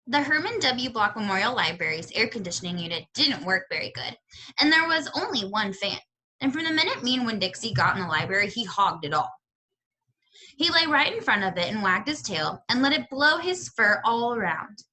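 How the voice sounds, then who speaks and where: Animated, bright-voiced woman reading a story aloud. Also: fairly high pitch, low-quality recording. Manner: animated storytelling with pauses to question listeners. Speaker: teacher reading aloud to children. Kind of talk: expressive read-aloud of a children's novel.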